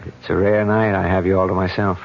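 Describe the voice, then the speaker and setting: An actor playing a confident, affectionate, wry police detective husband in a 1970s radio drama. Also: male voice, sits low, little pitch movement, a little fast, gravelly. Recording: dull vintage radio broadcast.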